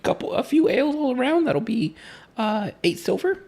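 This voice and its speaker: A man voicing an energetic, busy female tavern waitress in a tabletop game, brisk and chatty. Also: very high voice, pitched above the performer's own voice, full character voice.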